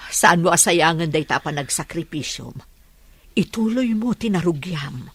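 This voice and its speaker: A woman with a Filipino accent and husky voice playing a concerned, counselling middle-aged mother in a radio drama. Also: fairly low pitch, expressive, unhurried, a bit bright, no echo, heavily acted. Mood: gentle urging. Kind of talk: Ilocano radio drama acting.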